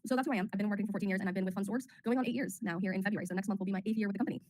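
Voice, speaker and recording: A woman in her thirties hosting a webinar in a bubbly, chatty way, her voice slightly raspy as she gets over a cold. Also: mid-range voice, racing, a little mellow. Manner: bubbly, chatty, many ums. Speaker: webinar host, marketing officer. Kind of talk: casual presenting on a webinar.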